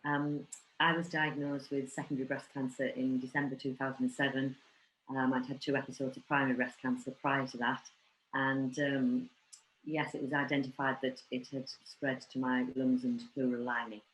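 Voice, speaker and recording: Flat-voiced woman in a roomy space. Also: a bass voice, moderate pace, a little hiss or hum. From England. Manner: steady, matter-of-fact. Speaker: round table guest. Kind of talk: casual discussion.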